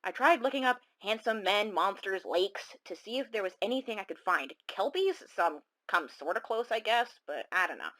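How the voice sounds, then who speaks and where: A woman's voice: mid-range voice, lively intonation, moderate pace, hardly any pauses, pushed and bright, poor audio. A woman playing a shaken caller who recounts a monster encounter in a dramatic, confiding first-person radio horror story. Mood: haunted. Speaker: caller telling her story.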